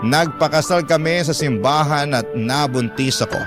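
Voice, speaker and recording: A man's voice: fairly high pitch, moderate pace. A man with a Filipino accent reading a personal story aloud as a radio storyteller. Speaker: radio show host reading a listener's letter. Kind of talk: storytelling narration. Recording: music under the voice. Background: background music.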